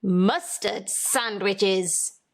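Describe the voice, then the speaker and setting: A woman's voice: mid-range voice, extremely slow. A woman voicing a genial, old-fashioned husband who keeps a lighthouse, in a storybook. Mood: amused.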